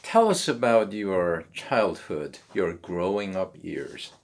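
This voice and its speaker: A pastor hosts a church interview. His voice is very low, and he keeps the talk going with frequent short affirmations. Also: sing-song, slow, vocal fry. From Korea.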